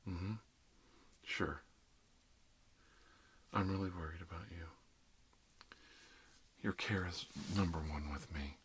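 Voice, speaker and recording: A man playing a kindly older doctor in an ASMR role-play, speaking softly in an unhurried, hesitant, reassuring murmur. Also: deep voice, a little hiss or hum. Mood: caring, reassuring. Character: kindly older male doctor doing emergency dental work.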